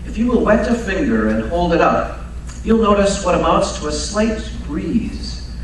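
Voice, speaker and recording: A man's voice: high-pitched, sing-song, unhurried. A man playing a confident, brilliant detective in a radio mystery, precise, unruffled and dryly commanding. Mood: brisk, commanding. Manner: precise, authoritative, unruffled explaining. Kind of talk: old-time radio mystery acting. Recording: very echoey hall, distant mic, faint noise.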